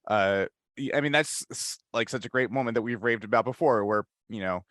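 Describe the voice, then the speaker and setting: A man's voice: medium pitch, brisk, fairly bright tone, no room sound, studio quality. A man talking casually as a panelist on a discussion podcast, restarting his phrases as he goes.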